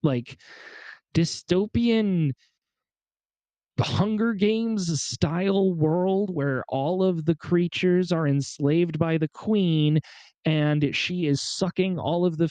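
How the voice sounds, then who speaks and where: A man co-hosting a casual chat, talking excitedly and emphatically, repeating himself, a bit congested from a cold. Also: fairly high pitch, takes it slowly, dry recording. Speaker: podcast co-host. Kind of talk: animated casual chat about pop culture.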